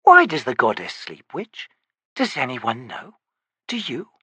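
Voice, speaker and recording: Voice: medium pitch, huge pitch swings, a little slow, bright, forceful tone, slightly rough, no room sound, full character voice. A narrator voicing a wary, impatient male wizard questioning a witch. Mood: probing.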